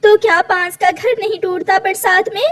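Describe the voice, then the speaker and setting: A woman's voice: extremely high pitch, steady tone, normal speed, fairly bright tone, voice pushed up. A woman voicing a devoted, stubborn mother bird in a cartoon story, earnest and emotional. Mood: determined, pleading.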